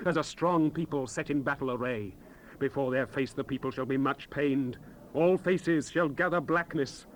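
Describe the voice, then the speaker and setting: Man acting solemn high-voiced prophet, hissy cassette. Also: lightly acted. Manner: solemn, declamatory, theatrical. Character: male Old Testament prophet, anguished, urgent, foreboding. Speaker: actor in dramatized Bible recording. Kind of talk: dramatized King James scripture reading, archaic English. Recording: hissy unprocessed 1970s cassette transfer.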